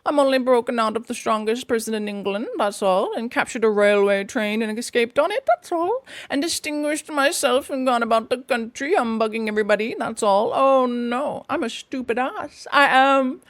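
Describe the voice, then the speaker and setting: A woman voicing a conceited, excitable male toad in a storybook reading, bragging and swaggering. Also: fairly high pitch, moderate pace, few pauses, no echo, natural voice.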